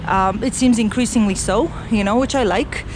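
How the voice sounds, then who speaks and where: A woman's voice: mid-range voice, normal speed. Chatty middle-aged woman from Romania walking outdoors. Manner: chatty, rambling, with many fillers and restarts. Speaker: travel vlogger. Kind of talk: casual walk-and-talk vlog. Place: city street outdoors. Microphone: handheld camera while walking. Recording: outdoor street noise and traffic.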